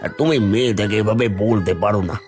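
A man's voice: on the low side, quick pace, soft background music, lightly acted. A man voicing a fair-minded, kindly village husband and uncle who reasons reproachfully in a children's cartoon.

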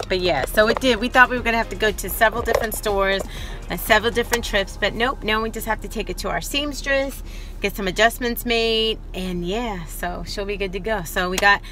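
A woman's voice: medium pitch, brisk, background noise. Chatty woman vlogging from her phone. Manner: chatty and upbeat, addresses viewers as "you guys". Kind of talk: casual home vlog chat. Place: house rooms and a parked car. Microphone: handheld phone camera at arm's length.

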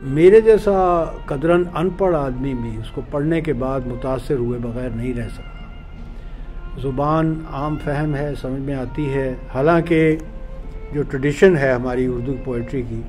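An older man hosting a book launch, talking in a relaxed, conversational way with some hesitations. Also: mid-range voice, unhurried, soft background music. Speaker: event host. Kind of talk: casual speech at an event. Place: office room.

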